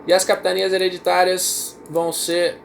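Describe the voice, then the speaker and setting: A man's voice: sits high, completely flat delivery, normal speed, gravelly, a little hiss or hum. A Brazilian man teaching a history class in a casual, repetitive way, often checking in with his students. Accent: Brazilian Portuguese. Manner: casual, repeats himself, checks in with "certo?" and "tá?". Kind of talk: informal recorded class lecture.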